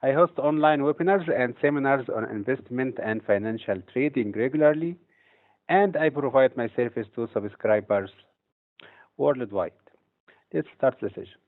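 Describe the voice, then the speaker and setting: A man's voice: mid-range voice, normal speed. Man presenting over tinny phone-like audio. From Egypt. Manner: steady, explanatory delivery with occasional hesitations. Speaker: trading instructor. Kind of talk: webinar presentation.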